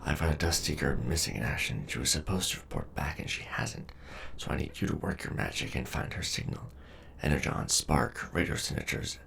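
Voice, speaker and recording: A man playing a gruff, loyal, war-weary Decepticon robot soldier in an ASMR audio drama, with a deep gravelly voice. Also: noisy background, heavily acted. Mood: urgent.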